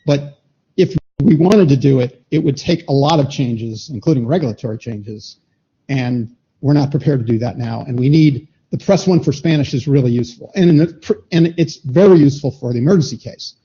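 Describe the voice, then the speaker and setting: A man answering at a standards meeting, halting and repeating words as he restarts. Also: medium pitch, warm tone, husky. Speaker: meeting participant. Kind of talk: technical discussion at a standards meeting. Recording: dull lo-fi conference room audio.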